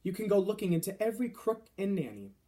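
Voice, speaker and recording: Man voicing a gentle, soft-spoken friendly giant. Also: high voice, sing-song, quick pace, pitched above the performer's own voice. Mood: polite, defensive. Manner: soft-spoken, quaint mangled grammar and nonsense words. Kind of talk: children's storybook reading.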